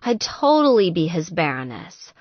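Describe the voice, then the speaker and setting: A woman's voice: lowish voice, big pitch swings, moderate pace, no echo, dull audio. A woman voicing a tipsy, heartbroken young woman in an audiobook, plaintive and self-pitying, with a sighing, drunken delivery. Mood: morose. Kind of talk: audiobook character dialogue.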